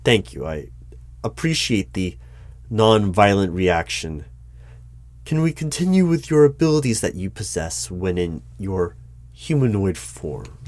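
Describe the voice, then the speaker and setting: A man playing a formal, easily flustered hiring manager in an ASMR roleplay, speaking in measured, halting phrases. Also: sits low, darkish tone, heavily acted. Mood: polite, formal. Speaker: performer of a one-sided roleplay. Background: computer keyboard typing.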